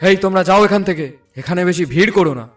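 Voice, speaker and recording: A man's voice: high voice, racing, lightly acted. A man voicing a concerned young villager in a cartoon, earnest and urging. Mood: commanding, consoling. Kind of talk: cartoon voice acting. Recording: slightly distant, music behind.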